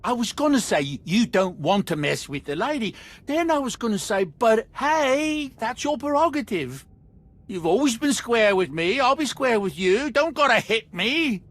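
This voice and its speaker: An actor playing a nervous, shifty street informant with a husky voice and slangy, stammering speech in a video game. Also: male voice, very high-pitched, sing-song, moderate pace, a bit bright, full character voice. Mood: anxious, placating. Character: nervous, shifty male street-level informant, eager to placate.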